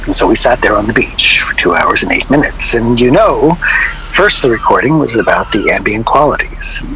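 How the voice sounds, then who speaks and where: A man playing a wry, middle-aged record-label veteran in a radio drama, reminiscing to an interviewer in a halting, digressive way. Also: mid-range voice, expressive, lightly acted. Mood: wry reminiscence. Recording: location recording with clear background noise.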